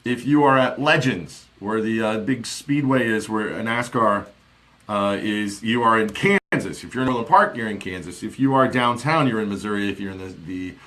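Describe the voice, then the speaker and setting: A man's voice: lowish voice, normal speed, pushed and bright. A man in his forties hosting a casual live stream, rambling and chatty with plenty of fillers, speaking into a desk studio mic in a small home office. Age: 40s. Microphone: studio mic on desk with headphones.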